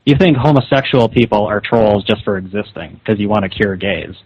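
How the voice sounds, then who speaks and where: A man's voice: fairly low pitch, fairly quick, a little mellow, husky, dry recording. A man arguing over the phone in an insistent, scolding, confrontational way. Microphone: telephone call. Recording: dull lo-fi phone call recording.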